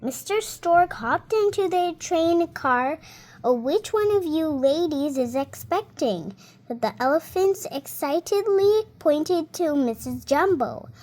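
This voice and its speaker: A girl of about seven reading a storybook aloud in a careful, deliberate manner. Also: sits high, darkish tone. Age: child, about seven. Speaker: young reader.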